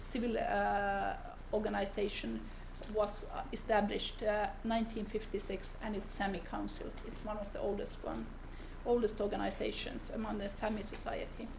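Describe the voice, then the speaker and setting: Gravelly-voiced woman giving a seminar talk. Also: mid-range voice, level tone, noisy background. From northern Finland. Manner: unhurried, pauses to search for words. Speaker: seminar speaker, lawyer. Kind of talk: seminar presentation. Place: seminar room.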